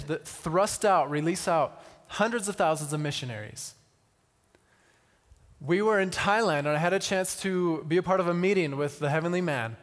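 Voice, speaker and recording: A man giving a conversational sermon with personal stories, using deliberate pauses and repetition and touches of wry humour. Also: fairly high pitch. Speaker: missionary speaker. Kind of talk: sermon with personal storytelling to an audience. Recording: reverberant hall.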